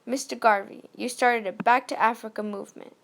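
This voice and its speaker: Woman playing a creaky-voiced 1920s radio news anchor. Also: fairly high pitch, fairly even intonation, a little fast, slight background noise. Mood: presentational. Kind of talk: scripted amateur radio news role-play.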